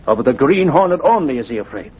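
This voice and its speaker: A man's voice: mid-range voice, very animated, fast talker, close to an everyday voice. An actor playing an anxious, pleading Italian immigrant father in a radio drama, speaking accented broken English and referring to himself in the third person. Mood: confiding, grave. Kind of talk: 1940s radio acting. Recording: dull, noisy old radio recording.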